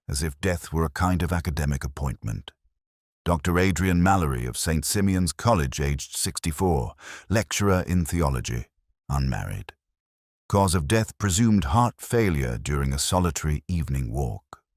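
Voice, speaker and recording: Brooding older detective , played by a man: very deep voice, wide melodic range, reflective first-person drama narration, close dry sound. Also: darkish tone, no echo, heavily acted. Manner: measured, literary, reflective.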